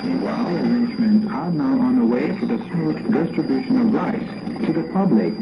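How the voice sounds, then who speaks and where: A man's voice: medium pitch, normal speed. Man reading news through heavy shortwave static. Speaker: radio newsreader. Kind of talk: formal scripted news bulletin reading. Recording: noisy dull shortwave radio broadcast, heavy static. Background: loud shortwave static and interference.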